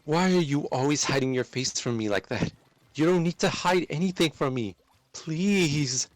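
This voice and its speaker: A man voicing a wounded, pleading young woman in a dramatic story reading, speaking in an earnest, halting and emotional way. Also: fairly high pitch, lively intonation, no echo, pitched above the performer's own voice, lightly acted. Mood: desperate.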